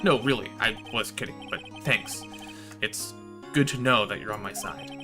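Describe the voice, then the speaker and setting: A man's voice: on the low side, normal speed, over music, close to an everyday voice. A man voicing a beleaguered young lawyer in a game, wry and brooding. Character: beleaguered young male lawyer, dry and weary.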